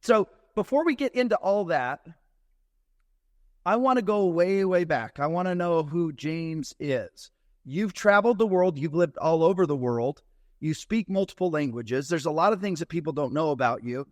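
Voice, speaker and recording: A man hosting a casual interview, animated and joking with his guests. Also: on the high side, moderate pace, no room sound, studio quality. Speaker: podcast host.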